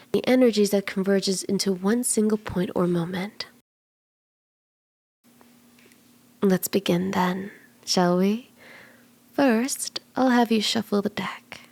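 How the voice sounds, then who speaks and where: Kitsune tarot reader acted by a woman: flirty, playful, soft and intimate ASMR delivery with noticeable vocal fry and some whispering. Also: medium pitch, unhurried. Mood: soft, mysterious. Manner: teasing, reassuring, conversational. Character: flirty, playful female kitsune fortune teller.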